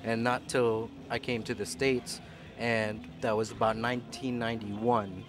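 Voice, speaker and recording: A male drummer tells relaxed stories in an interview. He was born in Sri Lanka and grew up in the Emirates and London. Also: mid-range voice, a little slow. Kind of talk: casual interview answers. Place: music club.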